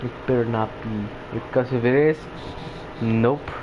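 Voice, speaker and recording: A man's voice: mid-range voice, fairly even intonation, unhurried, over music. A man giving casual, offhand, slangy reactions as he comments on gameplay. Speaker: gaming video creator. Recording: dull lo-fi mic, noisy background.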